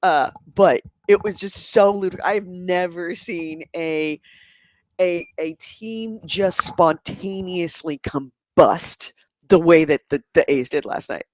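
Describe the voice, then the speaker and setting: A woman hosting a podcast in a casual chat, her voice hoarse and gravelly, talking in a chatty, animated way and laughing often. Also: fairly low pitch, slow pace, no room sound. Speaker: podcast host. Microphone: adjustable microphone close to the mouth.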